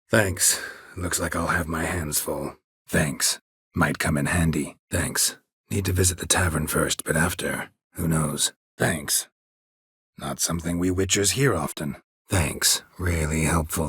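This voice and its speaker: An American man voicing a stoic, world-weary, middle-aged monster hunter in a video game, with a gravelly, husky voice and a deadpan, clipped delivery. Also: low-pitched, no echo, clean studio sound, heavily acted. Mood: brighter, engaged. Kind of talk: video game character dialogue lines.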